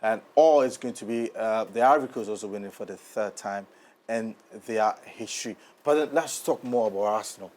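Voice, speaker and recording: A man's voice: lowish voice, fairly quick, a little mellow. A man, interviewing guests as host of a sports talk show.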